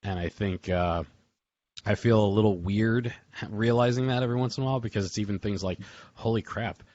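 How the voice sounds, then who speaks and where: A man's voice: on the low side, fairly quick, a little mellow, dry recording. A man hosting a casual group call, reminiscing in an informal, rambling way with chuckles between phrases. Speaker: host of a memorial group call. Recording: dull lo-fi online call audio.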